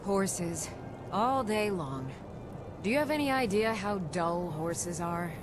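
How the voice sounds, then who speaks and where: A woman's voice: fairly low pitch, animated, slow pace, music in the background. A woman playing a bored, exasperated townswoman in a fantasy game, sardonic and complaining. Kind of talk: fantasy video game acting.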